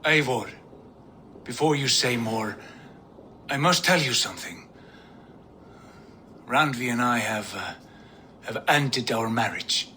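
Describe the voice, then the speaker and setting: An actor playing an earnest, gentle male viking jarl in a video game, speaking slowly and sincerely. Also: medium pitch, animated, slight background noise. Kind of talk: video game cutscene acting.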